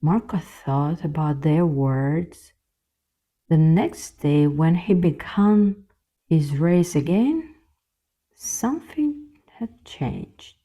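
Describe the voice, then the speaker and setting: A woman narrating a scripted children's fairy tale as the storyteller, in a gentle, measured way. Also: deep voice, expressive, muffled recording.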